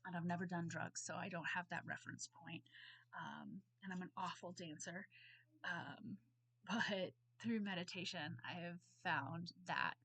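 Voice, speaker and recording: A woman in her thirties recording a casual class response at home on her computer, hoarse from a cold, rambling and apologetic with many ums. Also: on the low side, unhurried, a bit bright. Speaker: student. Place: at home on a bed. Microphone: computer mic.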